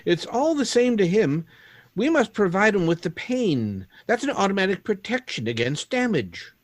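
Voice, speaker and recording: A man's voice: high voice, big pitch swings, moderate pace, no echo. A man playing a detached, condescending scientist who speaks matter-of-factly in a 1930s-style radio drama. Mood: clinical. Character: clinical, coolly detached male robot scientist.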